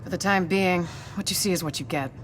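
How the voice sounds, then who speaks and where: An actor playing a tough, confident federal agent in a video game cutscene, terse, dry and no-nonsense. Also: female voice, on the low side, brisk, lightly acted. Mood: resolute, cool. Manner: terse, dry, self-assured. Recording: clear background noise.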